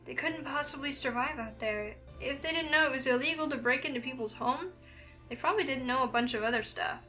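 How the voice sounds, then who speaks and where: A woman voicing a curious, introspective young heroine, reading her thoughts and lines aloud from a visual novel in an earnest, expressive way. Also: high-pitched, brisk, soft background music. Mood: pensive.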